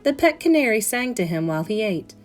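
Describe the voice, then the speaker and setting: A woman reads a children's story aloud like a classroom teacher. Her voice sits at a medium pitch, with expressive emphasis and careful phrasing. Soft plucked guitar plays behind her. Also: brisk. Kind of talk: expressive read-aloud of a children's picture book. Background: plucked guitar music.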